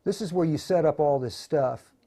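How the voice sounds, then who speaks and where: Higher-pitched man thinking aloud through a tutorial. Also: warm tone. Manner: halting, muttering to himself while working. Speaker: tutorial host demonstrating radio programming.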